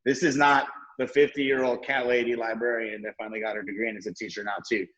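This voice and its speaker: A man's voice: medium pitch, flat delivery, fast talker, fairly bright tone, lo-fi sound. A man in his thirties from the Southern US, talking casually on a discussion panel, rambling with restarts and fillers. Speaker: panel guest.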